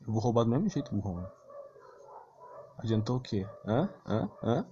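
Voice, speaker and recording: A man's voice: fairly low pitch, very animated, normal speed, dark tone. A man with a Brazilian accent giving casual, slangy, grumbling commentary on his own gameplay, with some swearing. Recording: dull lo-fi mic with clear background noise.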